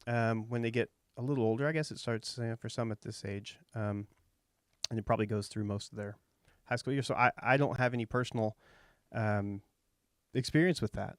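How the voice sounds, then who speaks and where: A man in his thirties from Texas with a light Texas accent, hosting a relaxed, folksy interview and thinking out loud with fillers. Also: mid-range voice, brisk, clear, resonant tone, no room sound, studio quality. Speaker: podcast host. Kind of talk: casual interview chat.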